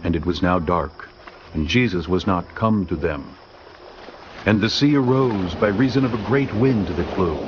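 A man narrating scripture in a steady, formal reading voice. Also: deep voice, measured pace, muffled recording, a little hiss or hum. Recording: music underneath.

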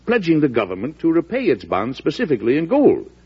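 An actor playing a resolute, worried U.S. President in an emphatic, oratorical old-time radio drama style. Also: male voice, fairly high pitch, huge pitch swings, quick pace. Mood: grave, concerned. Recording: muffled old radio recording.

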